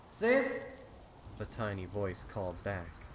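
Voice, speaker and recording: A man narrating a dark horror story in a dramatic, ominous style with deliberate phrasing. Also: lowish voice, slight background noise. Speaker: fan fiction narrator. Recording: rain and storm ambience behind. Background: rain.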